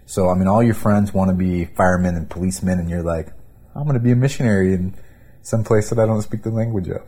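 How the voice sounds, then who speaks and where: A man hosts a relaxed interview and keeps affirming his guest. His voice is low and husky, with a dark, mellow tone. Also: quick pace. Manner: affirming, frequent "yeah". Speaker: podcast host. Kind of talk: casual interview chat.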